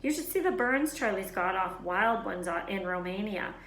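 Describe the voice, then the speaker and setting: A woman's voice: medium pitch, animated, moderate pace, fairly bright tone, echoey room, a little hiss or hum, rough recording. Woman voicing a blunt, know-it-all schoolboy. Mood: matter-of-fact.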